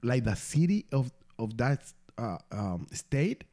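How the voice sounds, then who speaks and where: A man from Venezuela, a non-native English speaker, hosting a casual podcast chat, swearing freely and telling stories in animated, broken English. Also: medium pitch, slow. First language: Spanish. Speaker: podcast host.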